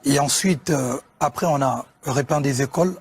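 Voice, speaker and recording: A man from Congo, speaking as a guest musician on a TV sports show, measured and often hesitating. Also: medium pitch, husky. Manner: measured, with frequent hesitations and restarts. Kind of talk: casual TV interview talk.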